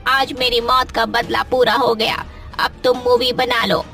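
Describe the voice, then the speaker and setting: A woman's voice: on the high side, flat delivery, unhurried, pushed and bright. A woman voicing a vengeful female ghost witch in a cartoon, speaking in solemn, threatening declarations. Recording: background music.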